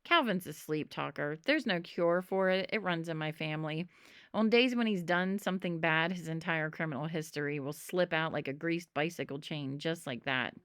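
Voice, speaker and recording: A woman reading a children's storybook aloud in an expressive narrating style. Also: low voice, normal speed, smooth, no echo, clean studio sound. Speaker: storybook reader.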